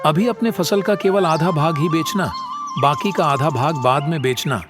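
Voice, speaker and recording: A man's voice: on the high side, moderate pace, music in the background, lightly acted. A man voicing a kindly, assured older brother crow who gives advice in a cartoon. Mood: advising.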